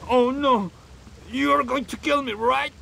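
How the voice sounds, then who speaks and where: A terrified guerrilla guard from a video game. His voice is extremely high and creaky, fearful and accusing, in clearly noisy game audio. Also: steady tone, takes it slowly, fairly bright tone, full character voice. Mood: frightened.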